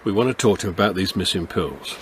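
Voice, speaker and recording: An actor playing a kindly, shrewd older police constable in a radio drama, homely and plain-spoken. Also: male voice, low voice, animated, fast, slight background noise. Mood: firm, wry. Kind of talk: radio drama acting with some narration.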